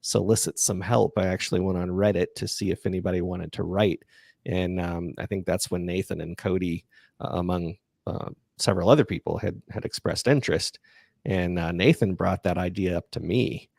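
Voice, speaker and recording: Low, creaky-voiced man chatting casually. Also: fairly even intonation, moderate pace, darkish tone, dry recording. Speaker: podcast creator and livestream host. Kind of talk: casual chat, answering listener questions.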